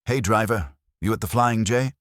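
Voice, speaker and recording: A man's voice: on the low side, moderate pace, a bit bright, no room sound, studio quality, voice pushed up, lightly acted. A man voicing a friendly, easygoing trucker chatting casually over CB radio in a horror story.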